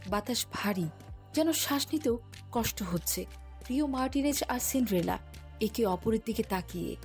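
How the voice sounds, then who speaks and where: A woman's voice: on the high side, animated, moderate pace, darkish tone, faint music behind. A woman reading scripted storybook narration as the narrator of a fairy tale.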